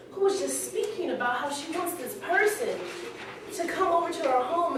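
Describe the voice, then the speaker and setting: Woman acting high-voiced young storyteller in echoey hall. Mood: candid, eager. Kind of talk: staged reading monologue, conversational storytelling. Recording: very echoey hall, distant mic, faint noise.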